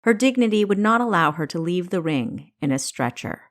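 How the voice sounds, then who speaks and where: A woman reading scripted story narration for an audiobook, with a dramatic, deliberate delivery and careful enunciation. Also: lowish voice, lively intonation.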